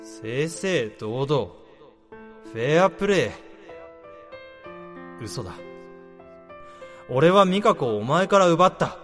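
Guilt-ridden young section chief voiced by a man, higher voice, long reverb, music behind. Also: very animated, extremely slow, pauses often, a bit bright, heavily acted. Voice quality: breezy at first, then anguished inner monologue. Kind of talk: radio drama acting.

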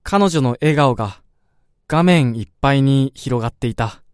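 A male actor as a young man in a radio drama, understated and reflective. His voice is smooth and clear at a middle pitch, recorded dry and close. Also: expressive, normal speed, dry recording, close to an everyday voice. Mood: wistful. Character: apologetic, quietly reflective young man, telling his own story. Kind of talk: radio drama acting and narration.

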